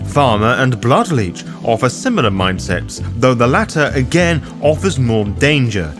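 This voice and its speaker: Guy doing scripted guide narration, kinda theatrical and wordy, super animated intonation, music going behind him. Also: mid-range voice, measured pace. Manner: theatrical, formal wording, playful asides. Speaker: host of a roleplaying guide series. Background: background music.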